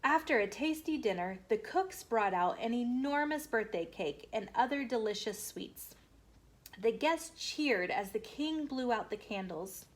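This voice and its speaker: Female storytime host, children's read-aloud, measured, explanatory, slow pace, higher pitch. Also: expressive.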